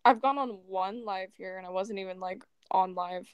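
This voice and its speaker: Monotone young woman on a phone mic. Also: medium pitch, moderate pace, smooth, dry recording, highs cut off. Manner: flat, self-described monotone, mumbling. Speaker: guest. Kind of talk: casual chat. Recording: dry phone audio.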